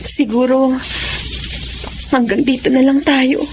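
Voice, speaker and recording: A young Filipina torn in love, sad and resigned. Her voice is very high, in the manner of radio drama. There is slight noise in the background. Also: fairly even intonation, slow.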